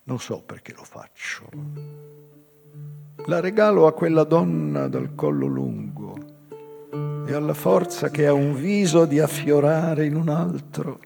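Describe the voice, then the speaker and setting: An older male actor playing a weary, melancholy old man confessing a past crime in a halting, raspy theatrical monologue. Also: fairly high pitch, sing-song, full character voice. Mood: weary, wistful. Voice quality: raspy, husky, breathy. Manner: halting, slow, dramatic pauses. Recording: live music behind the voice.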